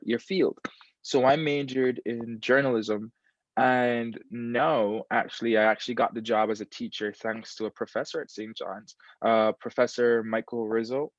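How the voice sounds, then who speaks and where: A man's voice: medium pitch, moderate pace, no echo. Guy in his 20s from guyana, laid back, says things twice, dull sound. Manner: relaxed, repeats phrases, many fillers. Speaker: interview guest, alumnus. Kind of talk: casual interview chat.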